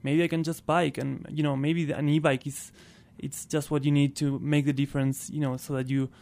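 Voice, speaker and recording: Smooth-voiced man with an Argentine accent. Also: fairly high pitch, flat delivery, brisk, darkish tone. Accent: Argentine Spanish. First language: Spanish. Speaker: interview guest, clean transportation programme worker. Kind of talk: casual radio interview.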